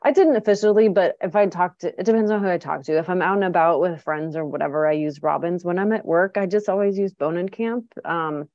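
A woman's voice: on the low side, brisk, hardly any pauses, darkish tone, no echo. A middle-aged woman, a clinic director, answering interview questions in a chatty way with lots of ums and restarts. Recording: dull, dry lo-fi sound.

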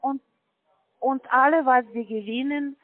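A man who is not a native German speaker, making a sales pitch on a phone call in halting, broken German full of fillers. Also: fairly even intonation, dry recording. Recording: phone line, dry.

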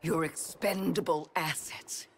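A woman's voice: deep voice, fairly even intonation, slow pace, slight background noise. An actress playing a cold, curt middle-aged female government boss giving orders in a video game cutscene. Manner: curt, authoritative.

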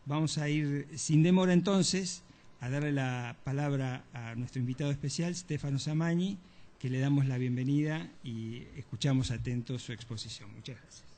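A man's voice: mid-range voice, moderate pace, dark tone. A man acting as moderator, formally and courteously introducing the guest speaker at a conference.

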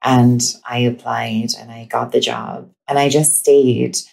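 A woman's voice: a bass voice, flat delivery, measured pace, creaky, darkish tone. A woman from India, a guest on a video call, talking casually and hesitantly with easy laughs. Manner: hesitant, fillers, laughs easily. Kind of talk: casual interview chat.

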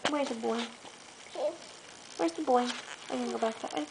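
High, sing-song young woman reading to toddler. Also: moderate pace, creaky. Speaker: parent reading a bedtime story. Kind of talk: reading aloud to a toddler, casual child-directed talk. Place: carpeted living room, sitting on the floor. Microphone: handheld camera a short distance away. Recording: noisy home camcorder sound, handling clicks.

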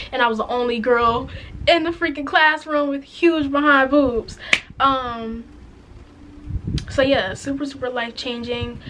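A raspy-voiced woman in her twenties telling a frank, chatty story-time vlog to camera, full of "like" and "um". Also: on the high side, fairly bright tone, slight background noise, rough recording. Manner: chatty, frank, many "like" and "um" fillers. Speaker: vlogger. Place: indoors, plain light wall, small room. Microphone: camera in front, head and shoulders.

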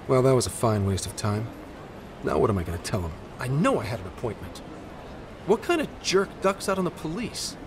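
A man's voice: medium pitch, sing-song, close to an everyday voice. A man playing a weary, irritable middle-aged policeman who grumbles, in a video game with noisy ambience behind. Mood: irritated. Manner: grumbling, slow.

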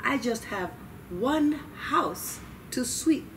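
A woman voicing a spry old grandmother from a storybook, politely and cheerfully stalling in a drawn-out sing-song. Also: high-pitched, expressive, fairly bright tone, distant mic, slight background noise, voice pushed up, exaggerated voice, rough recording.

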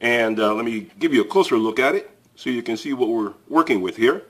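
Man, casual tool review host, chatty, plain-spoken, fillers, average pitch. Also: expressive, fairly quick, fairly bright tone, breathy edge. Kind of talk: casual product review to camera. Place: indoors at a table, filmed from above.

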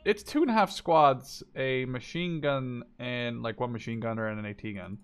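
A man's voice: medium pitch, moderate pace, clear, resonant tone. A man in his twenties talking casually as he plays, explaining things matter-of-factly. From Netherlands. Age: 20s. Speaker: gamer, video creator. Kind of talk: casual gameplay chat. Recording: gunfire and artillery game noise behind. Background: game battle sounds, artillery.

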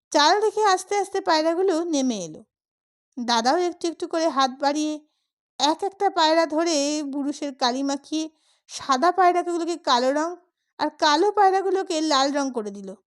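A woman reading a comic short story aloud as an expressive storyteller, acting out the characters' dialogue as she goes. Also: high voice, moderate pace. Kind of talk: expressive scripted audiobook narration of a comic story.

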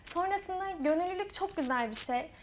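A woman's voice: very high-pitched, animated, brisk, slight background noise. A young woman, a student author, answering interview questions earnestly. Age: young adult. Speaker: guest, student author and association vice-president.

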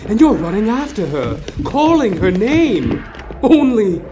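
A man narrating a horror audio play dramatically, intense and theatrical, pausing between short phrases. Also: high voice, very animated.

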